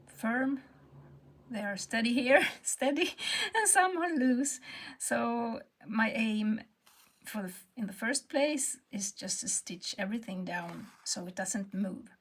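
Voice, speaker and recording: A woman's voice: mid-range voice, slow pace, slightly rough. A woman with a Swedish accent, talking through her embroidery work in a hesitant, half-whispered way, often searching for words. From Sweden. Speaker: textile artist. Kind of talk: casual craft tutorial narration.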